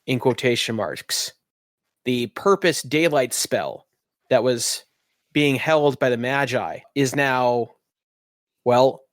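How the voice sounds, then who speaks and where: A man runs a tabletop roleplaying game as host, describing scenes with wry asides. His voice is recorded close and dry, at a middling pitch, over faint music. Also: expressive, takes it slowly, pauses often, dry recording. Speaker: game master and podcast host.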